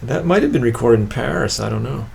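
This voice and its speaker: A man's voice: medium pitch, very animated, fast talker, creaky, darkish tone, slight background noise. A man talking casually and hesitantly about old records, in an understated way, as a collector presenting them.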